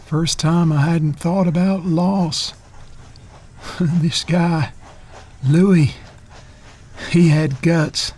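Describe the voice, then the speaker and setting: A man's voice: on the high side, a little mellow, husky. An actor playing a restless farm-bred man narrating his memories in an audio drama, folksy and reflective.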